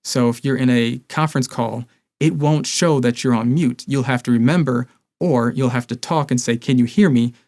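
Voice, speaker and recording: A man's voice: mid-range voice, normal speed, a little mellow. A man in his thirties presenting a scripted explainer to camera as a review host. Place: small indoor home studio.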